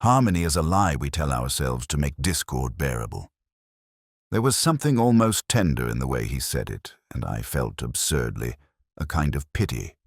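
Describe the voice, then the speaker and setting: A man with an extremely low voice narrates a scripted detective tale. His delivery is measured and brooding, with a literary turn, and his pitch moves expressively. The recording is close and dry. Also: very animated, vocal fry, no room sound. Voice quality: very deep. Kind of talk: scripted first-person detective story narration.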